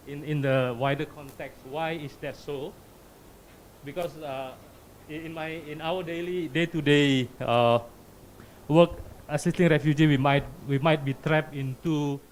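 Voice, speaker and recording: A man speaking hesitantly and tentatively as he puts a question from the floor, searching for his words. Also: fairly high pitch. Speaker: audience questioner from an advocacy group.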